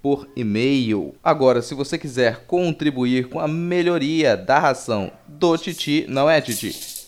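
A man's voice: medium pitch, expressive, measured pace, slight background noise. A man hosting a podcast, talking informally to listeners with playful asides. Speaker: podcast host. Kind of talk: casual podcast sign-off.

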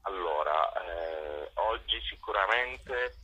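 A man's voice: low voice, expressive, slow, pushed and bright, dull audio. A man organizing cultural events, answers interview questions, hesitant and full of fillers.

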